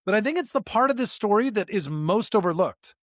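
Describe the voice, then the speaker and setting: A man's voice: high voice, lively intonation, fairly quick, fairly bright tone, no echo, studio quality. A man co-hosting a podcast in unscripted theological discussion, speaking emphatically and posing rhetorical questions. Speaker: podcast co-host.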